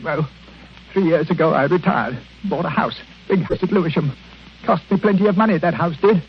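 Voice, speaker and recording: A man's voice: fairly high pitch, a little fast, close to an everyday voice. An actor playing a miserly, self-pitying old man, whining and blustering in 1940s radio drama style. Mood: mournful, grumbling. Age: older. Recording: dull, noisy 1940s radio recording.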